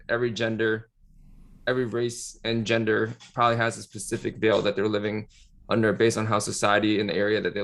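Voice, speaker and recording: A man's voice: on the low side, creaky, a bit bright. A man co-hosting a podcast in casual, reflective talk, tentatively thinking aloud and posing questions. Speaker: podcast co-host.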